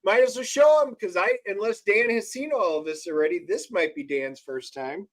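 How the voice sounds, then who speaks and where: A man's voice: high voice, normal speed, a little hiss or hum. A man co-hosting a casual livestream, upbeat and talking straight to viewers. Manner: upbeat, addresses viewers directly. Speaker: co-host.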